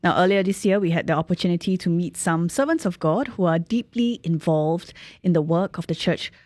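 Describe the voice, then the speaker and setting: Lower-voiced woman hosting, dry sound. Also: lively intonation, a little fast, a little mellow, dry recording. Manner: clear, explanatory. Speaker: show host. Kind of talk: scripted introduction.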